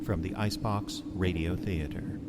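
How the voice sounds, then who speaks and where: A man announcing a radio theater outro in a measured, formal, scripted manner. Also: low-pitched, fairly even intonation, very noisy, close to an everyday voice.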